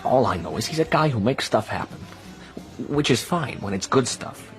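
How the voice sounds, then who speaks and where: An actor playing a fat, wisecracking, streetwise American in New York, slangy and knowing, in a radio drama. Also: male voice, mid-range voice, expressive, moderate pace, soft background music, lightly acted. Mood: knowing, confiding.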